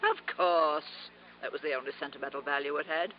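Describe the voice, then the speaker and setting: An actress playing a wealthy, willful old aunt, eccentric and secretly sentimental, in theatrical 1950s radio drama style. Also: female voice, low-pitched, fairly even intonation, brisk, lightly acted. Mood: defensive, proud. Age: older. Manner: theatrical, imperious. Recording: muffled, noisy vintage radio recording.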